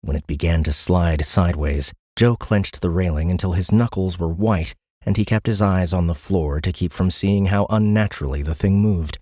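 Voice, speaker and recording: A man's voice: low-pitched, normal speed, few pauses, mellow, no echo, clean studio sound. A man reading scripted science fiction narration for an audiobook in a dramatic storytelling style.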